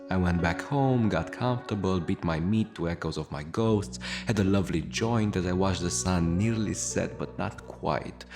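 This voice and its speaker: A man playing a low-voiced, world-weary radio broadcaster. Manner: brooding, wry, florid wording. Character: world-weary, melancholic, confessional male radio broadcaster of a decaying city. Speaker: radio show host. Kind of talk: scripted radio monologue, noir-like confessional narration. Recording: music under the voice. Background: guitar music.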